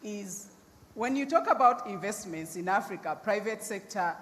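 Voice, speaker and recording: A middle-aged woman with a deep voice, speaking loudly and forthrightly as a panelist telling her own story. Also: lively intonation, normal speed. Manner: loud, emphatic, forthright. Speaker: panelist, nonprofit founder. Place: large conference hall. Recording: reverberant hall, faint background noise.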